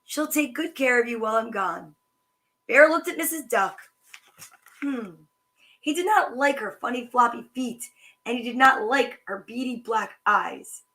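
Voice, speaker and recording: A woman hosting a children's story time, reading picture books aloud with chatty asides in a sing-song, animated way. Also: fairly high pitch, unhurried.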